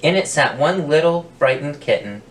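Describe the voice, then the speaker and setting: Male picture-book reader, expressive storyteller for children, roomy sound a little off the mic. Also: a little high, normal speed. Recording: roomy, distant mic, faint noise.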